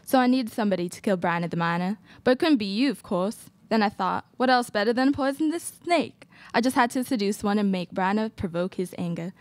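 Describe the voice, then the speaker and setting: A woman's voice: medium pitch, lively intonation, brisk, few pauses, no echo, natural voice. A woman acting a scheming, gold-hungry Old West wife in a student radio play. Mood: smug scheming. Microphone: stand microphone.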